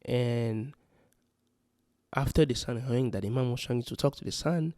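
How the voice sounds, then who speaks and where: A man's voice: medium pitch, normal speed, a little mellow, dry recording, studio quality. A man from Congo, talking earnestly and rambling in a casual vlog, full of "you know" fillers. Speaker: vlogger giving parenting advice. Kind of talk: casual spoken vlog monologue. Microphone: handheld or close mic.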